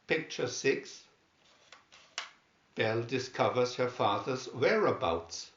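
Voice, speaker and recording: A man reading scripted storybook captions in a deliberate, announcing manner. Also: medium pitch, lively intonation, a bit bright. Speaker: narrator reading a picture-reel booklet. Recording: dull, roomy sound.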